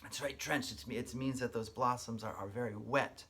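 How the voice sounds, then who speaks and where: A man working as a kindergarten teacher, giving an expressive read-aloud and explaining things simply to young listeners. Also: medium pitch, moderate pace, husky.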